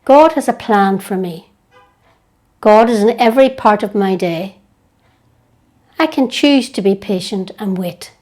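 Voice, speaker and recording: Slow, mellow woman leading meditation. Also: mid-range voice, expressive. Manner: gentle, unhurried, hushed delivery with long pauses. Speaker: guided meditation leader. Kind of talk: slow guided prayer meditation.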